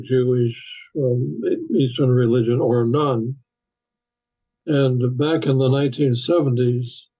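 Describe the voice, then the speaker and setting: Slow, mellow older pastor, muffled sound. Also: male voice, medium pitch. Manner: slow and measured, many "uh" pauses. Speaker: pastor and guest. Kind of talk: interview answers.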